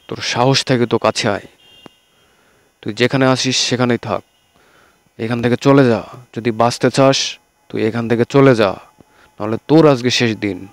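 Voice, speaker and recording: Village friend for kids' cartoon, male actor, emphatic, medium pitch, nervy but defiant. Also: fairly even intonation, moderate pace, long pauses, no room sound, close to an everyday voice. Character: young village man Rahim, anxious yet defiant friend. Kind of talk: children's fairy-tale cartoon acting.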